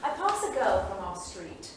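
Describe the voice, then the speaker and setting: An actress playing a thoughtful, observant young artist wife in a staged reading, measured and literary, with dry wit. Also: female voice, mid-range voice, sing-song, close to an everyday voice. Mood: absorbed. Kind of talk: staged reading of journal entries, theatrical. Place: small studio theatre, live staged reading. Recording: very echoey theatre, distant mic, faint noise.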